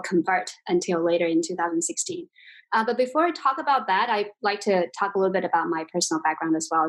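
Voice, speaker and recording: A woman's voice: fairly low pitch, low-quality recording. A woman in her forties from China, speaking English with a Chinese accent as an interview guest, thoughtful and full of fillers and restarts. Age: 40s. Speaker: guest, exchange CEO. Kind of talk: interview conversation.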